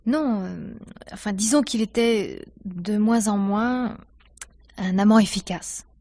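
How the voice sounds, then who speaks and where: A theatre actress suspected in a radio murder mystery. Her voice sits at a middle pitch. She answers with self-possession and a dry, sardonic edge, in a theatrical 1950s manner. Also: takes it slowly, a little mellow. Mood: reflective, frank. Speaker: radio drama actor.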